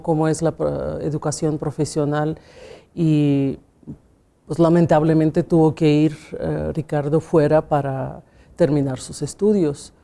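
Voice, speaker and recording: Russian-accented woman, mellow voice. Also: low voice. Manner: reflective, often ending with a questioning tag, small grammar slips. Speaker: pianist and music teacher. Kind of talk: conversational interview answers.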